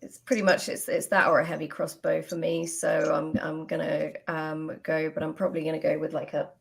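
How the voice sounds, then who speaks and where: Low, gravelly woman playing a tabletop game. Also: flat delivery, brisk. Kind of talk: casual game chat.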